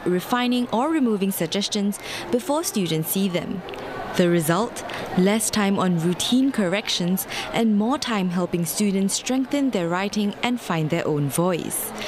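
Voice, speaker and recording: A woman reporter narrating a television news piece with a crisp, even cadence. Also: mid-range voice, background noise. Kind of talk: scripted news voice-over.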